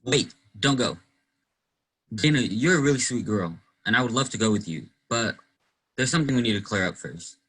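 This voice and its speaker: A man's voice: mid-range voice, animated, normal speed, a bit bright, breathy edge. A young man acting in a play as an earnest, inquisitive teenage boy who likes to play detective. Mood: sincere. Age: young adult. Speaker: student actor in a school play. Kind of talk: one-act play acting.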